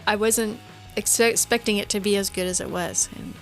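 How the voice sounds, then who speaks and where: A woman co-hosts a podcast in a loose, casual chat. Her pitch is average for a woman, and she has a giggly way of talking, often laughing through her words. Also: fairly even intonation, normal speed, faint music behind, poor audio. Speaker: podcast co-host.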